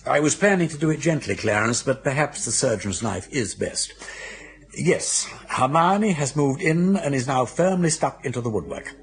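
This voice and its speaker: Breezy upper-class English brother, older male actor: swooping, higher-pitched, gravelly edge, teasing wit for radio comedy. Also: lively intonation, normal speed, natural voice. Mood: breaking news gently. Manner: urbane, wry, chatty and theatrical. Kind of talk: British radio comedy drama acting.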